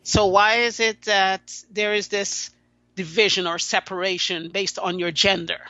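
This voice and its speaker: A woman's voice: mid-range voice, flat delivery, pushed and bright, dry recording, lo-fi sound. A woman from Guyana, a podcast guest, talking earnestly and often restarting her sentences. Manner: earnest, halting with many restarts. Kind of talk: conversational interview.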